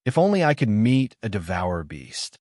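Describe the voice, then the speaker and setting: A man's voice: lowish voice, big pitch swings, moderate pace, a little mellow, no room sound, studio quality. A man voicing a brash, cocky young male fantasy hero in an audiobook, exclaiming and scoffing as he talks. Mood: cocky, grumbling. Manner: exclamatory, scoffing, self-interested.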